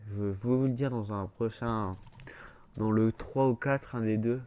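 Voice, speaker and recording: A man's voice: on the low side, steady tone, a little slow, highs cut off, noisy background. A man with a blocked, stuffy nose giving casual gameplay commentary, rambling and hesitant, full of fillers and restarts. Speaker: gaming YouTuber.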